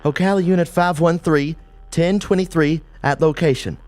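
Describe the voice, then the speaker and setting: A man's voice: on the high side, normal speed, darkish tone, dry recording, pitched above the performer's own voice, lightly acted. A man voicing a tough, concerned female police officer in an audiobook, speaking firmly and bluntly. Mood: brisk. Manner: firm, blunt. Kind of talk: audiobook character dialogue.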